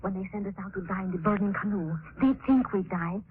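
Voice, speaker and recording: An actress playing an earnest young island princess in simple broken English, in 1940s radio drama style. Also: female voice, mid-range voice, a little fast, close to an everyday voice. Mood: grave, earnest. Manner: simple broken English in short plain phrases. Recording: noisy, tinny 1940s radio recording.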